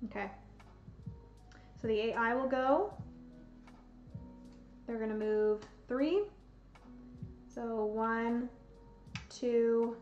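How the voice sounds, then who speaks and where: Brief: young woman streamer, hoarse from losing her voice, unhurried and thinking aloud, slightly high, roomy and dull home recording with soft music. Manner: slow, thinking aloud, counting pieces. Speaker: board game streamer. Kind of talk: casual live solo board game play-through. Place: home room with bookshelves, indoors.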